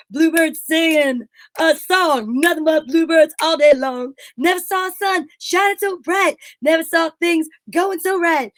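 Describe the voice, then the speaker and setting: Female singer, vintage radio show number: high register, dry close sound, old standard. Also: no echo.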